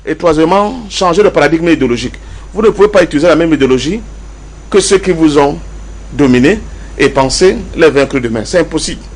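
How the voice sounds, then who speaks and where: A man with a notably high voice and a Cameroonian accent speaks as a panelist in a political debate. He drives his points home emphatically, repeating key phrases and posing rhetorical questions. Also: lively intonation, quick pace. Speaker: panelist in a pan-African debate.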